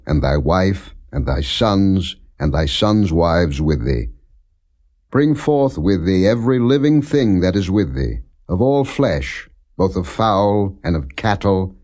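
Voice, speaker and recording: Man, deep voice, lively intonation, solemn scripture narration, non-dramatized.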